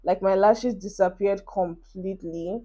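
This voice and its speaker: A Nigerian woman in her twenties talks to her followers in a relaxed get-ready-with-me vlog, recorded on a phone. She rambles easily and cheers her viewers on while applying makeup. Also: mid-range voice, unhurried. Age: 20s. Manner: chatty, encouraging, talks while doing makeup. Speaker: beauty vlogger. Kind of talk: casual get-ready-with-me chat to camera. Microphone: phone camera.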